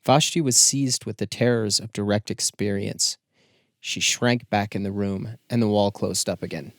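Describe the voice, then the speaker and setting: A man reading scripted literary narration as an audiobook narrator, with measured, deliberate phrasing. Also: fairly low pitch, steady tone, a bit bright, no echo, clean studio sound.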